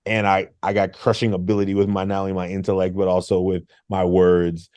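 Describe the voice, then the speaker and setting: A middle-aged man speaks in a low, mellow voice and tells his stories with lively energy, acting out other people's lines. The sound is dry and close. He is a former engineer turned teacher, talking as an interview guest. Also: fairly quick, clear, resonant tone, no echo. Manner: lively, acts out quotes with laughs. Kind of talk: animated storytelling interview.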